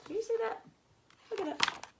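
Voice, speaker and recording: A woman reading a children's picture book aloud in an expressive, emphatic way, with asides and questions to young listeners. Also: extremely high pitch, moderate pace, vocal fry, heavy background noise.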